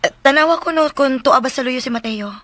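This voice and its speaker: A concerned young woman in a radio drama. Her voice is high and bright, and she speaks in a brisk, urgent dramatic style. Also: no echo. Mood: pleading.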